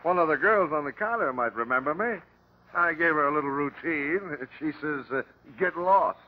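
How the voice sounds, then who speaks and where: An actor playing a cocky, slangy, wisecracking treasure hunter in an old-time radio drama. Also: male voice, fairly high pitch, expressive, normal speed, lo-fi sound, lightly acted. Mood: breezy, cocky. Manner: slangy, flippant tough talk.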